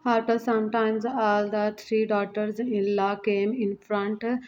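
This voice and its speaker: A woman's voice: mid-range voice, level tone, a little slow, a little mellow. A woman reading scripted narration for a moral story, on a muffled recording with some noise. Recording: dull lo-fi sound, faint noise.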